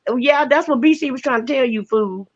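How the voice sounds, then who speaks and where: A woman's voice: sits high, a little fast, over a noisy crowd. An American woman giving blunt, colloquial opinions in a casual chat, heard through lo-fi call audio. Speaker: panelist on a commentary livestream. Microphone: video-call livestream. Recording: slightly dull, lo-fi call audio.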